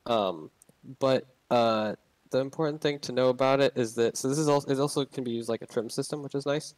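A man's voice: medium pitch, fairly quick, a little mellow, dry recording. Young male narrator, loose unscripted tutorial talk, dry and close. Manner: rambling, many ums and restarts. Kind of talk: casual gameplay tutorial.

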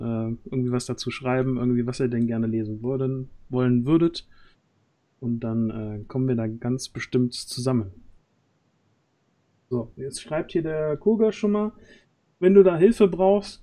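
A man hosts a casual livestream. His voice is soft-edged and mellow, and he talks haltingly, feeling for his words as he goes. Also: mid-range voice. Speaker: stream host and audio drama organiser. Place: small room at home, on a group video call.

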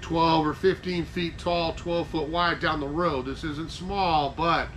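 Older American male presenter, fairly high voice, chatty teaching style, light ambient noise. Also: steady tone, slow, fairly bright tone. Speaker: nursery class presenter. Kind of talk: informal gardening lecture. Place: covered wooden garden-nursery structure. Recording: noticeable background noise.

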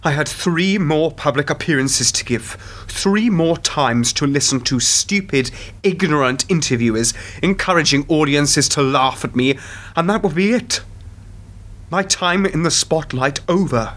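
Radio drama: weary male intelligence insider acted by a man, guarded, slightly high-set voice, very unhurried. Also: animated, a bit bright, reverberant, recorded from a distance. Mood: indignant.

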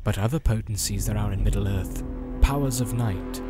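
A man narrating a fantasy audiobook in a solemn, measured, dramatic literary style. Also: lowish voice. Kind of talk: dramatic scripted storybook narration. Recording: loud background music and sound effects. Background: loud cinematic music.

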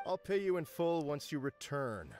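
Stern, formal academic supervisor. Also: male voice, a little high, sing-song, normal speed, darkish tone, faint music behind. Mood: admonishing. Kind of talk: video game voice acting.

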